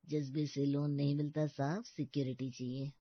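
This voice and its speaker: A woman's voice: low voice, slightly rough, dry recording, highs cut off, exaggerated voice. A woman voicing a dismissive, mocking male bank officer in a story cartoon.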